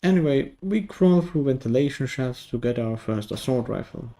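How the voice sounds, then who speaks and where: A man's voice: mid-range voice, expressive, moderate pace, dark tone, faint music behind. A man narrating a scripted video game review in a wry, tongue-in-cheek way.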